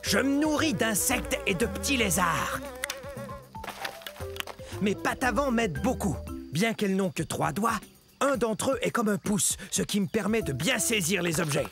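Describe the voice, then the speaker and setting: Small proud cartoon hunter dinosaur, high gravelly voice, dramatic, confiding, self-important. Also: male voice, lively intonation, unhurried, music in the background. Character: proud, secretive little carnivorous hunting dinosaur. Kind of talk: animated children's educational cartoon monologue.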